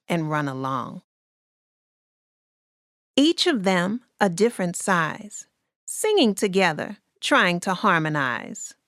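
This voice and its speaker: Female storybook narrator, slow and expressive, deliberate rhyming read, dry close sound. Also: mid-range voice, no echo. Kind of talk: scripted children's story narration.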